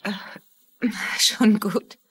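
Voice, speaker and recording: An actress playing a sad, guarded young daughter in a dubbed TV crime drama, with a husky voice. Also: female voice, extremely slow. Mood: subdued, wistful.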